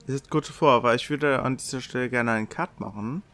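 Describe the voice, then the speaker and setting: A man's voice: mid-range voice, fairly bright tone. A man casually hosting and game-mastering an online tabletop role-playing stream.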